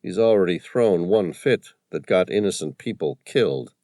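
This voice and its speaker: A man voicing a calm adult male investigator with a dry, understated manner. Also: low-pitched, normal speed, no echo. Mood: wry.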